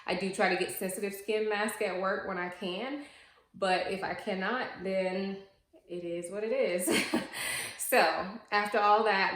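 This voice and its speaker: Woman, late thirties, lowish voice, casual vlog chat, ums and likes, echoey room. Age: 30s. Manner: chatty and explanatory, with frequent ums and likes. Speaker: beauty vlogger. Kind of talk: casual talk to camera.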